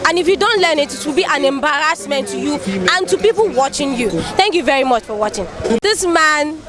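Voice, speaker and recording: A woman hosting a street interview outdoors, upbeat and quick to thank her guests. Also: high voice, animated, music in the background. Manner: upbeat, repeats thanks often. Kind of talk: street vox-pop interview. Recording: street crowd noise behind.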